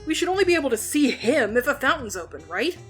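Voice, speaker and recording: A woman playing a hot-headed, gruff teenage girl who speaks bluntly and swears freely, with a soft spot underneath, in an audio drama. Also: high voice, big pitch swings, fast, soft background music. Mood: brash, startled.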